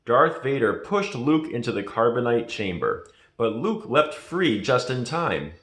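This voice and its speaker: Slow, dramatic male storybook narrator. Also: medium pitch, big pitch swings, fairly bright tone. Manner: dramatic, deliberate. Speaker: storybook reader. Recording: echoey room.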